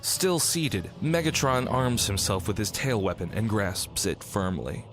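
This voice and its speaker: A man's voice: lowish voice, animated, a little slow. A man reading scripted narration for a radio drama. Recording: loud music under the voice. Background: loud music.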